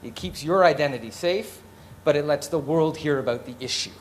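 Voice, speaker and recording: A man leading a live project pitch as main presenter, speaking in a conversational way. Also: brisk, slight background noise. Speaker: lead presenter.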